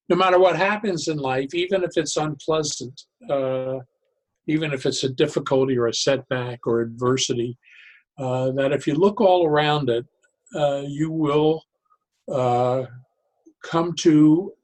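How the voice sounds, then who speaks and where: An older man speaking as an interview guest, telling stories conversationally in a reflective, halting way with pauses mid-phrase. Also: mid-range voice, rough recording. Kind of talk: conversational storytelling.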